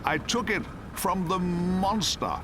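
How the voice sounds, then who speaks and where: A man's voice: high voice, voice pushed up. Man acting a weak dying old councillor over rain. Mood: urgent. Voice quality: breathy, labored. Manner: weak, halting, pleading. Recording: steady rain noise behind the voice.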